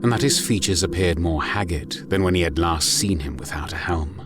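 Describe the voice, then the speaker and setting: A man giving a grave, measured, dramatic narration of a scripted story. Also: low voice. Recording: music under the voice. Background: music.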